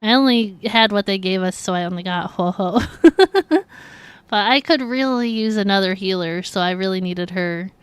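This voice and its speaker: A woman's voice: medium pitch, expressive, moderate pace, clear, resonant tone, no room sound. A woman livestreaming a video game, chatting casually and playfully with her viewers as she plays. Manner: laughs easily, playful reactions. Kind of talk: casual chat with viewers while playing.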